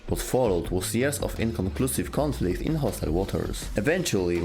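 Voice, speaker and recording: Low-pitched man narrating over music. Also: a little mellow. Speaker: narrator of a game lore show. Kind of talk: scripted explainer narration.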